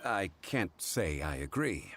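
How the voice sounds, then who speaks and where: Deep, composed scholarly gentleman. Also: sing-song, gravelly, music in the background, exaggerated voice. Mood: calm, reasoned. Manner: measured, formal, deliberate. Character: calm, erudite, reserved gentleman with quiet authority. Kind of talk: video game voice acting.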